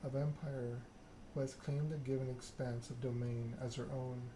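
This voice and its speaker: A man softly narrating a story game in ASMR style, reading in a measured, deliberate way. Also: mid-range voice, steady tone. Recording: clearly noisy background ambience.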